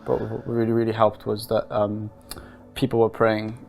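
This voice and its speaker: A young man in his twenties answers interview questions with a low voice. He speaks in a calm, unhurried way and pauses often as he thinks. His voice has a gravelly edge, and faint music plays behind him. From Wales and Norway. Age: 20s. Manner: calm, unhurried, with frequent hesitations. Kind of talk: casual personal testimony.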